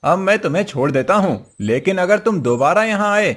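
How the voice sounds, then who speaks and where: A man's voice: high-pitched, expressive, normal speed, fairly bright tone. A man playing a crafty, cheerful village husband in a cartoon moral story, coaxing and exclaiming in an exaggerated way. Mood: triumphant.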